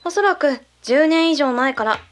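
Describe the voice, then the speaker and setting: Woman acting measured, high-voiced intelligence briefer. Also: animated. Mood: composed, explanatory. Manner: measured, matter-of-fact, blunt masculine phrasing. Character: calm intelligence colleague briefing secret findings. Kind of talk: audio drama dialogue.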